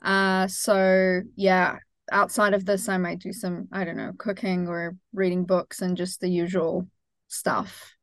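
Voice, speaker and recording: A woman from Iran in her thirties, a startup founder answering interview questions thoughtfully, with frequent pauses and self-corrections. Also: on the low side, flat delivery, no echo, clean studio sound.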